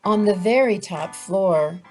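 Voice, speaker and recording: A woman's voice: mid-range voice, takes it slowly, warm tone, soft background music, rough recording. A woman reading a children's picture book aloud as a storyteller.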